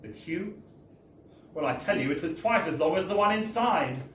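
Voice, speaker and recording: A man's voice: on the high side, lively intonation, moderate pace. A man giving a wry, theatrical, mock-formal reading of a comic story at a live event. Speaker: reader of a humorous book. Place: bar, indoors, at a live book launch. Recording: echoey live bar recording, distant, faint noise.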